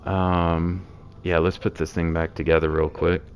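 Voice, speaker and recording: A hoarse man with a sore throat casually walking through game builds, rambling with many fillers. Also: low-pitched, flat delivery, a little slow, slight background noise. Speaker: game streamer explaining builds.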